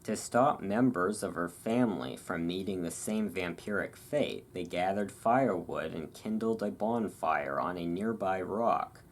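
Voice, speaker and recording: A host giving a relaxing ASMR reading of a historical article, with relaxed, informal delivery. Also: low-pitched, unhurried, hardly any pauses.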